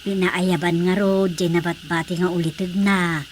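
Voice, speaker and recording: A woman's voice: on the low side, flat delivery, moderate pace, close to an everyday voice. A woman playing a practical, fussing wife and mother with an Ilocano accent in a radio drama comedy. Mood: explaining. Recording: faintly noisy radio drama track.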